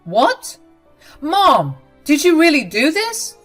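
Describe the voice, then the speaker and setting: A woman's voice: medium pitch, very animated, measured pace, soft background music. A woman voicing a protective young husband who talks in an incredulous, demanding way. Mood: angry.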